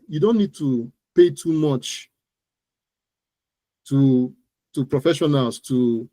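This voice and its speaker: A man's voice: a little high, a little mellow, no echo. A man with a Nigerian accent, explaining things as an expert interview guest in an emphatic, instructive, conversational way, often repeating phrases.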